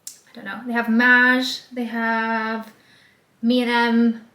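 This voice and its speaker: A woman's voice: a little high, flat delivery, slow, sharp tone. Chatty young woman in an echoey room. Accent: English with a light Scottish trace. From Scotland. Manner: bubbly, rambling, with lots of "like" and "um". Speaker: vlogger. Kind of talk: casual chatty vlog. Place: small room indoors. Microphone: camera facing her from a short distance.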